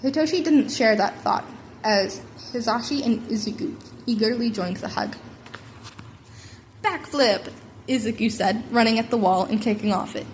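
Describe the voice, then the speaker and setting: Woman, amateur story narrator, fairly high voice, slightly stumbling read, some background noise. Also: normal speed, a little mellow, low-quality recording. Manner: stumbling over words. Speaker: amateur audiobook reader. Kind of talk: reading fan fiction aloud, putting on character voices.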